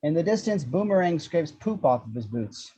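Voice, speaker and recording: A man's voice: fairly high pitch, very animated, normal speed, warm tone, breathy edge. A man reading screenplay action descriptions with a wry manner, on a dull lo-fi microphone. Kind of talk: scripted screenplay narration.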